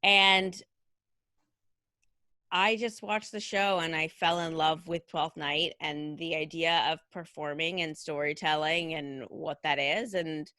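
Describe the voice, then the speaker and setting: A woman's voice: sits low, steady tone, takes it slowly, pushed and bright, dry recording, studio quality. A woman in her thirties, a costume designer as interview guest, talking in a chatty way, full of "like" and "you know". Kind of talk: casual conversational interview.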